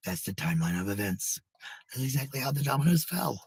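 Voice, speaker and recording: An American man with a husky, gravelly voice rambling casually to livestream viewers, blunt, sweary and given to repeating phrases. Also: mid-range voice, sing-song, fast talker, dry recording. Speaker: live streamer.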